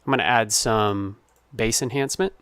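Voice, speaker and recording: A man's voice: lowish voice, normal speed, fairly bright tone, smooth, dry recording, studio quality. A man giving an informal tutorial as a sound designer, explaining his process step by step. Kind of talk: informal screen-recorded tutorial narration.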